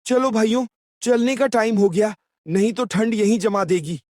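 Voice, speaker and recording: A man playing an excitable young friend who complains in a cartoon story. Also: sits high, normal speed, dry recording, studio quality, natural voice. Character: excitable young male friend dreading the cold.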